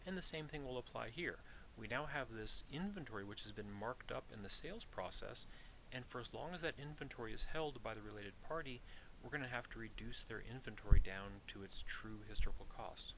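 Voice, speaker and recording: A man giving an explanatory lecture as an instructor, methodical and deliberate, restating his points. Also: mid-range voice, lively intonation, vocal fry, background noise. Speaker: accounting instructor.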